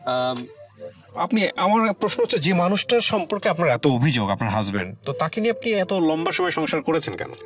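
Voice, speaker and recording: A Bangladeshi man hosting a conversational life-story radio interview, speaking in a reflective, deliberate way with some English words mixed in. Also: fairly high pitch, very animated. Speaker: radio show host. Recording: music under the voice. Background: background music.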